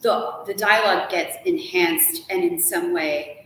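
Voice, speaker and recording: A middle-aged woman, an author guest, chatting casually and explaining things with an animated storytelling manner. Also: on the low side, a little slow. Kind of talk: casual live conversation. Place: hotel lounge with armchairs. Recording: echoey room, distant mic, faint hiss.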